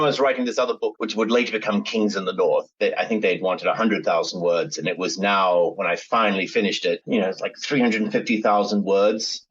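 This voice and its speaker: A man's voice: fairly low pitch, a bit bright, slightly rough, lo-fi sound. A middle-aged man with a mixed American, British and Australian accent, rambling through a podcast interview as a guest author, with many restarts. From United States. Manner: meandering, many restarts and fillers.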